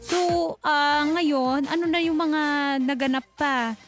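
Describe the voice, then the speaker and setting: A woman's voice: high voice, steady tone, takes it slowly. A woman co-hosting a radio advice show, questioning conversationally with sympathetic short interjections. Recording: music playing behind the voice. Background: background music.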